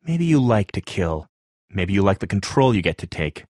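A man's voice: low-pitched, very animated, moderate pace, a little mellow, dry recording, clean studio sound. A man playing a nerdy, mild-mannered tinkerer in a zombie video game, speaking earnestly and a little hesitantly, pedantic when explaining. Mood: accusing. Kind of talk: naturalistic video game voice acting.

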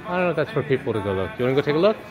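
A man holding the camera talks casually while guiding a child through a farm shop, slipping into Cantonese at times. His voice sits at a middle pitch and is close to the mic. Store music plays clearly behind him. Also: sing-song, racing. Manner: coaxing, gives directions to a child, mixes in Cantonese. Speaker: family member filming. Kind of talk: casual family chat.

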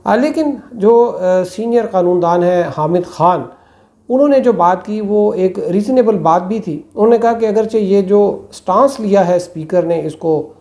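A man, giving political commentary to camera in a small room on a lapel mic, measured and full of hesitations. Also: high-pitched, dark tone. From Pakistan. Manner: measured, many hesitations and fillers. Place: small indoor room with plain walls.

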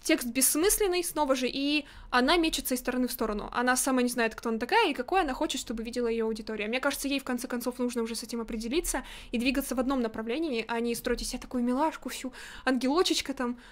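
A woman's voice: sits high, racing. A young woman streaming from a home room into a studio mic, giving blunt, opinionated commentary and nitpicking as she reacts. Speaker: streamer reacting to a music video. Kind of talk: casual critical commentary. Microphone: large studio mic in front, headset on.

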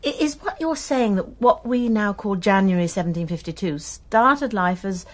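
An actress playing a brisk, inquisitive college nurse and amateur sleuth in a radio drama. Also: female voice, medium pitch, expressive, lightly acted. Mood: curious. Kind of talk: BBC radio drama acting.

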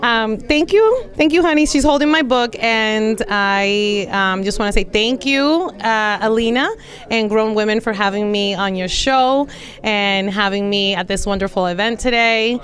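A woman's voice: medium pitch, unhurried, fairly bright tone. A woman in her forties, a life and business coach, speaking earnestly with many fillers into a handheld mic at an indoor event. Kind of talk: event interview testimonial. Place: indoor event room against a plain wall.

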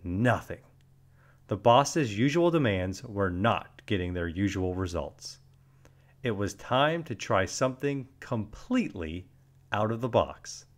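A man reading a children's storybook aloud as narrator, with deliberate, dramatic emphasis and expressive delivery. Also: on the low side.

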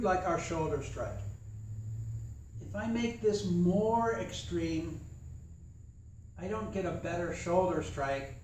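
A young man teaching a tai chi class in a dojo while he demonstrates, talking in a relaxed, thoughtful way. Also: on the high side, a little hiss or hum. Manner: relaxed, thoughtful, chatty with fillers. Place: large empty dojo with mat floor. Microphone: far camera. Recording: echoey room from a distance.

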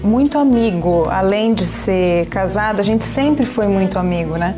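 A woman guest in a casual TV interview, giving brief, soft-spoken answers. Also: medium pitch, animated, normal speed, over music. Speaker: guest, wife. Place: small TV studio set with a tea table.

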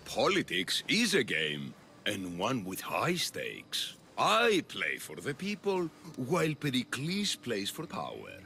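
Theatrical, swooping Athenian demagogue. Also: male voice, mid-range voice, wildly swooping pitch, slow pace, gravelly, pushed and bright, a little hiss or hum, full character voice. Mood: insinuating. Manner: oratorical, insinuating, grandiose. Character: scheming, theatrical populist male politician of ancient Athens. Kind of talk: dramatic video game dialogue.

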